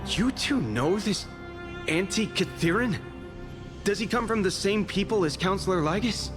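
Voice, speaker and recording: An actor playing a loyal, alert young city guard in a video game, with an earnest delivery. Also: male voice, high voice, animated, normal speed, big-room sound, music in the background. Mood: suspicious.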